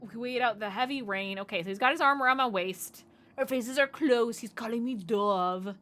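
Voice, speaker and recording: A woman streaming a game, reading its text aloud and reacting in an animated, exasperated way, with a hoarse voice. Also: a little high, normal speed.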